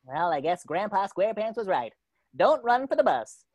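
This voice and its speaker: Woman as a wildly swooping, excitable cartoon sponge. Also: medium pitch, normal speed, muffled recording, a little hiss or hum, lightly acted. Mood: uneasy, muttering. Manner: theatrical, exclaiming, talking to self. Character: excitable, naive young male cartoon sea sponge. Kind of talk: amateur table read of a cartoon script.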